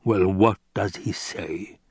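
A man voicing a fat, scheming baron in an audiobook, with a rumbling, growling bass and a grandiose, savoring delivery. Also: takes it slowly, darkish tone, breathy edge, no echo, clean studio sound, full character voice. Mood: impatient, sardonic. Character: fat scheming villainous baron, pompous and menacing.

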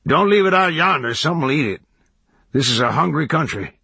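A man's voice: a little high, animated, a little fast, creaky, sharp tone, husky, no echo, voice pushed up, exaggerated voice. A man voicing a half-mad, agitated old hermit in an audiobook, with clipped, repetitive speech. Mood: warning. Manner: clipped rustic speech, repeats himself emphatically. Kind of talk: audiobook character voice.

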